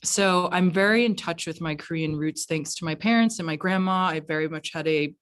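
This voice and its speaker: Low-voiced American woman, halting and reflective. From United States. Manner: halting, reflective, frequent 'like'. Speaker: film producer being interviewed. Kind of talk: casual conversational interview answers. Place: remote virtual event.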